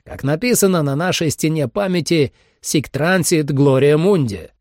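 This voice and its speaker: A man's voice: fairly high pitch, a little mellow, no room sound, clean studio sound, pitched above the performer's own voice, natural voice. A man voicing a veteran monster hunter commander in an audiobook, solemn and emphatic, like an orator. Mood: rousing, fierce. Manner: deliberate, emphatic, rousing oratory.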